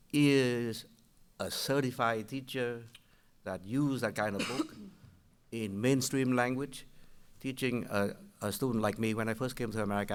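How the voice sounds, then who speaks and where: An older man from Vietnam with a Vietnamese accent, asking questions at a public meeting in a halting way, with many restarts. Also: medium pitch, a bit bright, clean tone. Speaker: public commenter.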